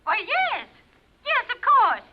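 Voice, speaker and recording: An actress playing a prim, polite spinster tenant in a 1940s-style radio drama. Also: female voice, extremely high pitch, wildly swooping pitch, slow, a little hiss or hum, heavily acted. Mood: guarded, polite. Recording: muffled vintage radio recording.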